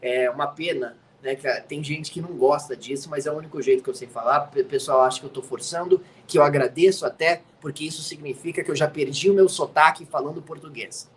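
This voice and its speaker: Man, grew up in the US, sports commentator, chatty and teasing, slightly high voice, faint hiss behind, video call from home. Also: fast, few pauses, low-quality recording. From United States. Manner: chatty and teasing, tags lines with "né?". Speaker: NFL commentator, interview guest. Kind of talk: casual chat. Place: home studio.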